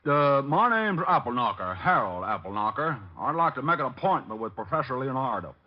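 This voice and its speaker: A man's voice: medium pitch, very animated, brisk. A man putting on a folksy, naive Kentucky hog farmer with a rustic, bumbling Southern hillbilly drawl. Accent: Southern US hillbilly, put on. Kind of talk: 1940s radio comedy acting. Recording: muffled old radio broadcast.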